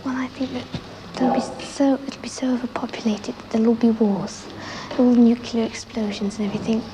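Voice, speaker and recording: A soft-spoken young girl answering questions in an interview. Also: mid-range voice, little pitch movement, a little fast. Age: child or teenager. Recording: noisy 1960s archival interview.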